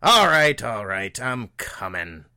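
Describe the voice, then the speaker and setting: Grumpy old shopkeeper wizard, voiced by a male narrator, irritable and dismissive, sharp edge to the tone. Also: medium pitch, lively intonation, moderate pace, voice pushed up. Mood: irritated. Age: older. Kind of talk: audiobook character voice.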